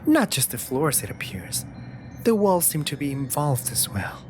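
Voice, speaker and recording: A wry raven familiar played by a man in a fantasy audio drama. The delivery swings freely in pitch, playful and easily offended in a theatrical way. Music plays clearly behind the voice. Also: huge pitch swings, a little slow, a little mellow. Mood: teasing. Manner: playful, sardonic, theatrically indignant. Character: teasing, dramatic male raven familiar of a mage. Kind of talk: scripted audio roleplay acting.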